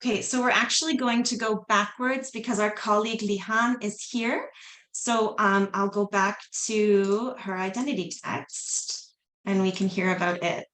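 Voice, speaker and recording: Woman running the session, brisk and informal, roomy echo. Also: medium pitch, fairly even intonation, a bit bright. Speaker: session moderator. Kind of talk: casual session moderating. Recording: roomy, echoey sound.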